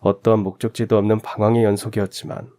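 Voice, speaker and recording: A man's voice: fairly low pitch, little pitch movement, fast talker, mellow. A man reading a scripted first-person story as a radio narrator.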